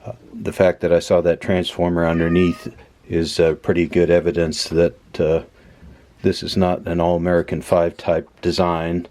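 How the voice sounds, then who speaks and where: Low-pitched man, casual explainer. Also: completely flat delivery, normal speed, mellow. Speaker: host of a vintage electronics repair channel. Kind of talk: casual explanatory hands-on commentary.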